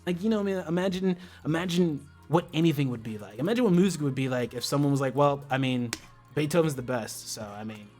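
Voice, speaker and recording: A man's voice: on the high side, animated, fast talker, darkish tone, soft background music. An American man hosting a casual livestream chat, talking in an impassioned, slangy way and swearing freely. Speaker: livestream host.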